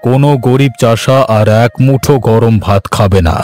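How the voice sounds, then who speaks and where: A man's voice: medium pitch, normal speed, a little mellow, soft background music, lightly acted. A man playing a haughty, middle-aged village headman in a children's cartoon, speaking in a bossy way. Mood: humbled. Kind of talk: Bengali children's cartoon voice acting.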